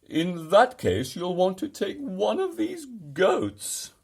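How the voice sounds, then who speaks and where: Storybook lady monster, played by a man: shrill falsetto, loud, huffy and very exaggerated. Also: huge pitch swings, slow pace, creaky, slightly rough, exaggerated voice. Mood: bossy, helpful. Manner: exclaiming, over-the-top. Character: indignant female mountain monster, loud and shrill.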